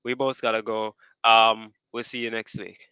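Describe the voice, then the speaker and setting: A man's voice: lowish voice, flat delivery, normal speed. A man hosting a podcast in casual chat, rambling and restarting as he keeps wrapping up. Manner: rambling, restarts often, wraps up repeatedly. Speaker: podcast host.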